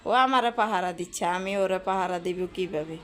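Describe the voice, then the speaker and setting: Low-voiced Bangladeshi woman, chatty home vlogger. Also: fairly quick, fairly bright tone. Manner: informal, colloquial, chatty. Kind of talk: casual daily-life vlog narration. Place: at home, kitchen and balcony.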